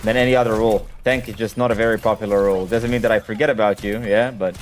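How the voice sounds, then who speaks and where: A man's voice: lowish voice, steady tone, quick pace, a little hiss or hum. A man, a native Hebrew speaker, chatting casually as a game coach on a live stream and answering questions in a direct way.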